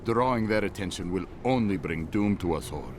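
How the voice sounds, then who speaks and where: A solemn fantasy game conspirator with a deep voice. He speaks formally and deliberately, full of grave resolve, over noticeable ambient noise. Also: lively intonation. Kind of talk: solemn dramatic game monologue.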